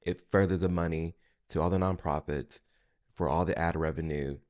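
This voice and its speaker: A man's voice: low-pitched, steady tone, moderate pace, darkish tone. A man hosting a reaction video, commenting casually in colloquial words and chiming in to agree. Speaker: video host reacting to a clip.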